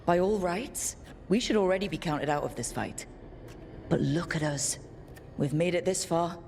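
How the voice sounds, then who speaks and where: A woman's voice: on the low side, normal speed, darkish tone, a little hiss or hum, close to an everyday voice. A woman playing a resolute, plain-spoken young team leader in a video game. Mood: determined. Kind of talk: video game dialogue acting.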